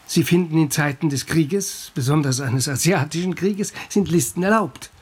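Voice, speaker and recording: Wary doctor confidant, husky, slightly high voice, grave, deliberate, radio drama. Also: male voice, darkish tone. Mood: concerned, conspiratorial. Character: earnest male doctor, wary confidant and second.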